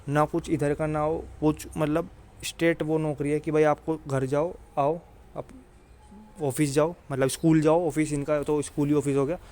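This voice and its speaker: A man's voice: a little high, a little mellow. A man giving informal career advice, colloquial and repetitive, often adding little checking tag phrases. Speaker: exam and career advice presenter. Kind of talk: informal explanatory career talk.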